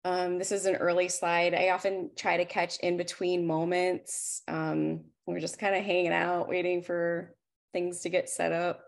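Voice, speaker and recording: Woman photographer doing a casual slide talk, lowish voice, lots of fry. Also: level tone, unhurried. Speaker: unit still photographer presenting her work. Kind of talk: informal slide presentation. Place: indoors on a video call.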